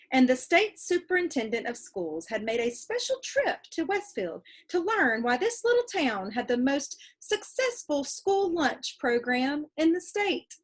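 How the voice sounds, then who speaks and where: Animated woman reading a story aloud. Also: on the high side. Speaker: storybook reader. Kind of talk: expressive scripted read-aloud narration.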